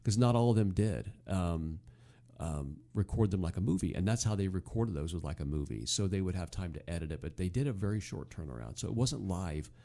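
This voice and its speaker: A man hosting a casual talk show, giving commentary in a halting way, often circling back to rephrase. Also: sits low, expressive, dark tone.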